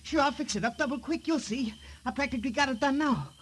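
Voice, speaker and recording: A man's voice: very high-pitched, fast talker, a bit bright, slight background noise. An actor in a 1950s radio drama playing a brash, cocky teenage boy who talks in wisecracking slang. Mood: cowed, eager. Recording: old 1950s radio recording.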